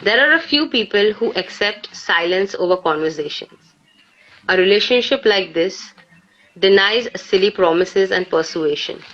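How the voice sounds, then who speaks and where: An Indian-accented woman with a medium-pitched voice talks reflectively, like a poet sharing her work. Her delivery is halting and conversational. The sound is dull and lo-fi, as on a live stream. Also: lively intonation, fairly bright tone. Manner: halting, peppered with 'you know'. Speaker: poet on a live poetry session. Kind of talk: casual reflective chat and poetry recitation.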